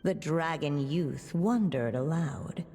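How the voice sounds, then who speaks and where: An actress playing a storybook narrator in a game, telling a fairy tale in a deliberate, literary manner. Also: female voice, low voice, mellow, a little hiss or hum. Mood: gentle, measured. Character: measured female storybook narrator, wistful and omniscient. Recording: long hall reverb, music behind. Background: music.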